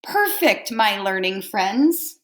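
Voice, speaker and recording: A woman reading the part of an encouraging village schoolteacher in a storybook, speaking to pupils with deliberate emphasis. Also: mid-range voice, very animated, echoey room. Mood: proud, encouraging. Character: encouraging female village schoolteacher praising her young pupils.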